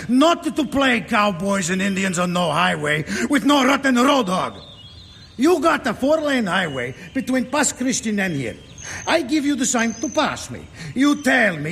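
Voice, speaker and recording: A high, lively voice belongs to a proud, hot-tempered Sicilian truck driver with a thick Italian accent. His English is broken and loud, full of hurt pride. It sits in an old film soundtrack with a long, ringing reverb. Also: a little hiss or hum, full character voice. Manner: broken English, shouting then sobbing. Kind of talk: 1950s film drama acting.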